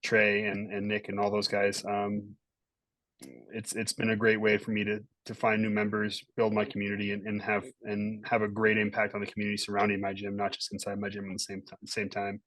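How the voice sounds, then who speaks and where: Male host, slightly low voice, flat delivery, informal and encouraging, dry recording. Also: a little fast, dry recording, low-quality recording. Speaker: host, gym owner. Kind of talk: casual closing remarks on a group video call.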